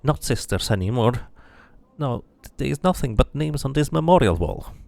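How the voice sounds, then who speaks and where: A man's voice: medium pitch, lively intonation, moderate pace, gravelly, darkish tone, no room sound, pitched above the performer's own voice. A man voicing a scarred tiefling woman in a fantasy game, wild, snappish and grieving. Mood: distraught, bitter.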